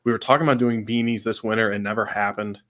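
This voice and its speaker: Laid-back lower-voiced man with a cold. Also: steady tone, quick pace, a bit bright. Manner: easygoing, chatty, many fillers. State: fighting a cold, slightly stuffed. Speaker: co-host. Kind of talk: casual livestream chat.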